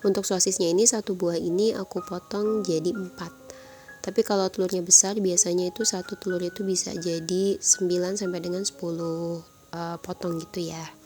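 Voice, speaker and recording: A woman's voice: lowish voice, fairly even intonation, normal speed, mellow. A woman with a nasal, congested voice from a cold, casually explaining a recipe step by step in a voice-over. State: stuffy nose from flu. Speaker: home cook presenting a recipe. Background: background music.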